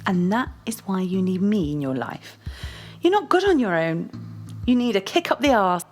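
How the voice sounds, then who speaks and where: A woman's voice: medium pitch, big pitch swings, moderate pace, faint music behind, natural voice. An actress playing a domineering, short-tempered girlfriend in an audio play, blunt, scolding and foul-mouthed. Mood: reproachful.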